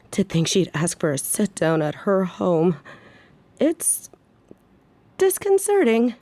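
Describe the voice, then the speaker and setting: A brooding female mafia boss as a voice actress plays her, pitched on the low side and recorded close and dry. She is short-tempered and protective, speaking with theatrical formality, as in an audio drama. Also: wildly swooping pitch, slow pace, pauses often, dark tone, no echo, full character voice. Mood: grim, irritated. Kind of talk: scripted audio roleplay acting.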